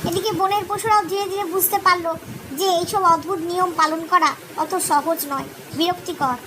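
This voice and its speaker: A woman's voice: very high voice, fairly even intonation, a little fast. A woman narrating a scripted children's cartoon tale as storyteller. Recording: clearly noisy background.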